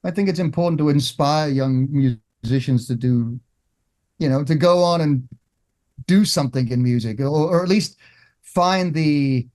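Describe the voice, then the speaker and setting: Halting, higher-voiced English-born man. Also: darkish tone. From England. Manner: halting, many restarts and fillers. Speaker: guest guitarist. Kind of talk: casual interview.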